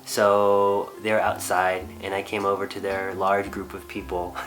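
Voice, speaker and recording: Very deep-voiced man, halting. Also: completely flat delivery, a bit bright, rough recording. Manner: halting, restarts, many "like" and "um". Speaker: guest, host's husband. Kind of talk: casual recollection.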